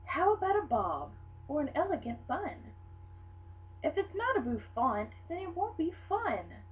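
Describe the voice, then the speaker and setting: A woman's voice: high voice, very animated, measured pace, recorded from a distance, voice pushed up. Woman reading as a patient, reluctant storybook mother. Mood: coaxing. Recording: dull lo-fi sound with hum.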